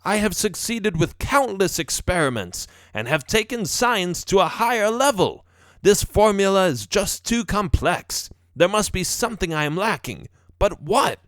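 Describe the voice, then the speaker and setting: A man's voice: high voice, very animated, measured pace, a bit bright, no room sound, clean studio sound, pitched above the performer's own voice, full character voice. A man voicing a storybook lab rat professor, earnest, fretful and fatherly, with an emphatic delivery. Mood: frustrated, fretful. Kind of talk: storybook character voice.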